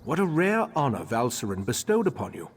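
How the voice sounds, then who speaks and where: An actor playing a composed, formal leader of a magic order, in a video game. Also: male voice, mid-range voice, wildly swooping pitch, a little fast, soft background music.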